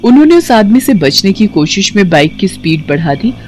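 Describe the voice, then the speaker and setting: Expressive woman narrating a story. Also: mid-range voice, normal speed, soft background music. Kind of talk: scripted dramatic story narration.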